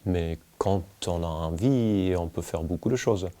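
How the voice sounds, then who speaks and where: A man in his forties from the United States with an American accent, speaking haltingly and searching for words in an interview. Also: low-pitched, warm tone, faint music behind. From United States, Kentucky. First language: English. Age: 40s. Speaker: winemaker. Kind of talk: relaxed documentary interview, telling life story.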